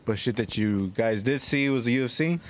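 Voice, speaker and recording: A man's voice: medium pitch, fairly quick, slight background noise. A man co-hosting a podcast, chatting casually in short, slangy phrases. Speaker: podcast co-host.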